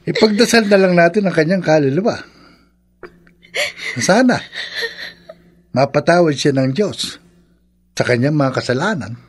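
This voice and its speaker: An actor playing a gentle, solemn father in a radio drama, with a husky voice. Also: on the high side, lively intonation, unhurried, pauses often. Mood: consoling, solemn. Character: gentle consoling middle-aged father, solemn and devout. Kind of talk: melodramatic radio drama acting.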